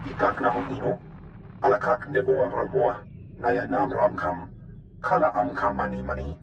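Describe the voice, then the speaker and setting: Gruff game alien, super croaky, clipped barking, muffled echoey sound. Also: male voice, lowish voice, big pitch swings, normal speed, full character voice. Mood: forceful. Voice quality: heavy gravelly croak. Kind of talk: video game creature voice. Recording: echoey, muffled, faintly noisy game audio.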